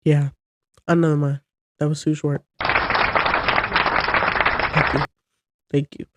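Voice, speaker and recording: A woman's voice: low-pitched, little pitch movement, slow pace, over a noisy crowd, very noisy. A young woman hosting her own podcast in a casual, chatty, rambling way, sounding congested from a cold. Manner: chatty and rambling, talking to camera. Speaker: podcast host. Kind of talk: casual solo chat.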